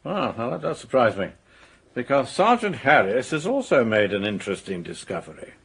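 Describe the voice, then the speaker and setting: A man playing an authoritative senior police officer in a radio detective drama, formal and measured. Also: medium pitch, big pitch swings, vocal fry, fairly bright tone, breathy edge, exaggerated voice.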